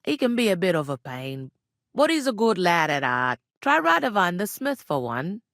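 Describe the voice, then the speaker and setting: An actress playing a plain-spoken, bossy, world-weary village innkeeper in a medieval video game, with a rustic working-class English regional accent and a blunt, wry manner. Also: female voice, fairly low pitch, big pitch swings, normal speed, fairly bright tone, no echo, clean studio sound. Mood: wry, chatty. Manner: blunt, earthy, colloquial, wry. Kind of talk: video game character dialogue.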